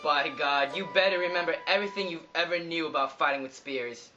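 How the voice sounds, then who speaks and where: A vengeful young warrior of Greek myth, acted by a man, pitched slightly high for a male. He is merciless, in an amateur puppet-show style, with prominent music behind him. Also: fairly quick, muffled recording, lightly acted. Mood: menacing.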